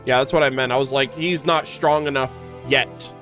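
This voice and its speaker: A man's voice: mid-range voice, steady tone, moderate pace, a bit bright. A man hosting a gaming video, giving casual reaction commentary over gameplay. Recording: game music behind the voice.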